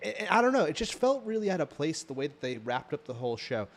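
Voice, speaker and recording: A man's voice: on the high side, big pitch swings, fast. A man with an American accent chatting casually as a podcast co-host, rambling through a TV show review with slangy emphasis.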